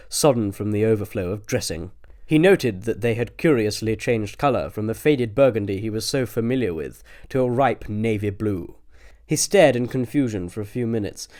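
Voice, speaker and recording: A man's voice: on the low side, darkish tone. A man with a British accent reading scripted comic story narration as an audiobook narrator.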